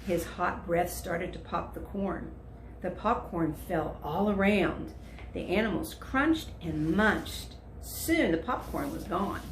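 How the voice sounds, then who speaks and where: Low, creaky woman storyteller in echoey room. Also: lively intonation, slow, a little hiss or hum. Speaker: volunteer storyteller. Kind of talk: read-aloud children's story narration.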